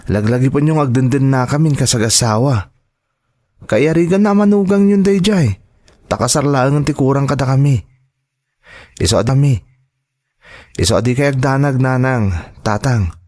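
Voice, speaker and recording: A man's voice: mid-range voice, darkish tone, close to an everyday voice. A man playing an earnest, reassuring son and devoted husband in an audio drama. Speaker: voice actor in a drama. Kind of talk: Ilocano audio drama acting.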